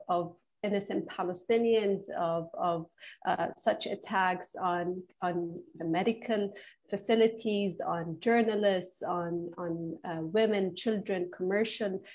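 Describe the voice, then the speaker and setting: A woman speaking on a panel, giving earnest commentary in a halting, careful way, repeating words as she goes, on lo-fi audio. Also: lowish voice, a little mellow. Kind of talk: earnest spoken commentary at a community Q&A.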